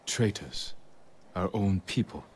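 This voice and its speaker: A man's voice: deep voice, animated, slow, a little mellow, breathy edge, full character voice. A man playing a solemn, honourable samurai lord in a video game, restrained and grave. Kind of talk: dramatic video game acting.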